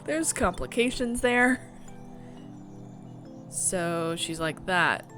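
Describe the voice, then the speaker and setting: A woman voicing a gentle, evasive queen in a visual novel, hesitant and cryptic. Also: on the low side, animated, extremely slow, music in the background.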